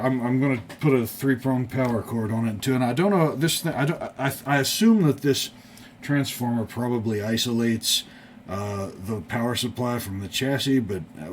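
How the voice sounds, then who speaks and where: A man giving casual, unscripted workbench commentary as a hobby video host, thinking aloud in a folksy, tentative, rambling way. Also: mid-range voice. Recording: clearly audible background noise.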